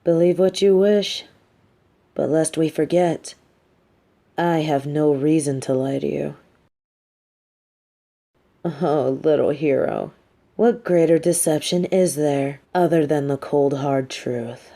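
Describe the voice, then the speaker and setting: A woman playing a coolly menacing, manipulative but sympathetic supervillain in a scripted audio roleplay, speaking deliberately with theatrical condescension. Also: sits low, creaky, dark tone, heavily acted. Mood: cold persuasion.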